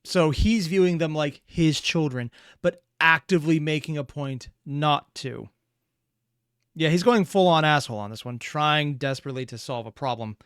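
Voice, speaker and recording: A man's voice: a little high, moderate pace, a bit bright, clear, resonant tone, no room sound, clean studio sound. A man hosting a reaction video, talking casually in a rambling, analytical way.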